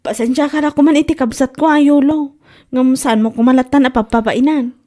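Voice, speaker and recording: Woman acting worried caring big sister, really high voice, ilocano accent, comedy drama. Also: fairly even intonation, a little fast, warm tone, close to an everyday voice. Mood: concerned, apologetic. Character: worried, caring older sister of a troubled girl.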